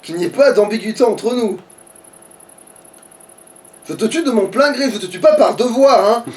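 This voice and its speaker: Guy doing a let's play, high voice, sweary and jokey, bit far from the mic. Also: animated, moderate pace, darkish tone. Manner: crude, swearing, joking. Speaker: let's player. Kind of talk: casual gaming commentary with a friend.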